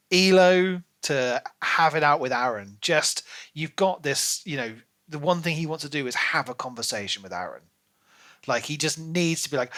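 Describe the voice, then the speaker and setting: A man's voice: a little high, sharp tone. A man co-hosting a podcast, chatting casually about books with emphatic, opinionated phrasing. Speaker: podcast co-host. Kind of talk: casual book discussion.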